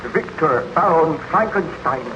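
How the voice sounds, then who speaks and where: An actor playing a weak, haunted baron with archaic, halting, melodramatic speech in a 1930s radio drama. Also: male voice, a little high, expressive. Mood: weary, anguished. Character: weak, tormented baron wandering the ice, haunted. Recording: muffled, very noisy 1930s radio recording.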